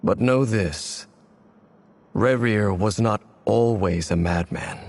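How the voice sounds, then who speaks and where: An actor playing a weary, grave swordsman haunted by an old betrayal, speaking in a deep, measured way in video game dialogue. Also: darkish tone. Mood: resolute.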